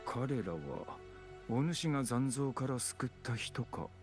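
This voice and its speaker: An actor playing a stern, measured official who speaks in a formal, archaic, grave manner in an anime game. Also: male voice, mid-range voice, animated, faint music behind, lightly acted. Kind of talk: anime game voice acting in Japanese.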